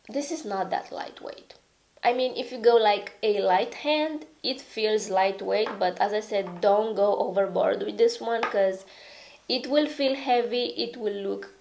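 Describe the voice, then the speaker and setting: A woman's voice: mid-range voice, darkish tone. Chatty stuffy-voiced woman reviewing makeup. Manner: chatty, rambling, self-deprecating, with frequent "okay?" asides. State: stuffy and raspy from a cold. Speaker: beauty vlogger. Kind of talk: casual product review.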